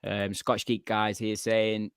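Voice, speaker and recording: A man hosting a live film podcast, chatting casually with lots of fillers and lively storytelling. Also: fairly low pitch, takes it slowly, clear, resonant tone, dry recording, clean studio sound. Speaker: podcast host. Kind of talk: casual chat on a live stream.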